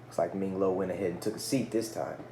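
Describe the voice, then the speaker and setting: A man reading a children's story aloud in an expressive style with casual asides. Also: sits low, quick pace, slight background noise. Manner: expressive, engaging listeners with questions. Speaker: storybook reader.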